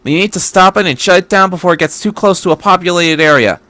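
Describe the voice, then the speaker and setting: A man voicing a brisk, resolute female military officer in a story, commanding and matter-of-fact. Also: high-pitched, sharp tone, dry recording, voice pushed up.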